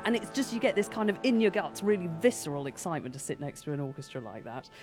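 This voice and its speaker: Woman, radio presenter, low voice, chatty, enthusiastic, live broadcast, orchestra music behind. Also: sing-song, quick pace. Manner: enthusiastic, conversational. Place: concert hall beside the orchestra.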